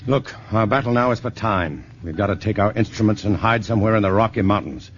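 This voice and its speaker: A man playing a calm scientist on old radio. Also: fairly low pitch, fast, a little hiss or hum, close to an everyday voice. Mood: resolute. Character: calm male scientist leading the team. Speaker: scientist lead. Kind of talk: 1950s radio drama acting. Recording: muffled vintage radio broadcast.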